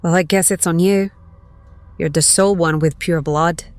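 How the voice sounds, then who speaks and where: Actress as a low-voiced practical spellcaster woman. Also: fairly even intonation, moderate pace, a little mellow, lightly acted. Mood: questioning. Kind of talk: audio drama acting.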